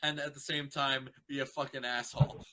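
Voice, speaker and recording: Bright-voiced, sweary man in casual banter. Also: medium pitch, little pitch movement, a little fast, low-quality recording. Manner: crude, sweary, digressive. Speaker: co-reader.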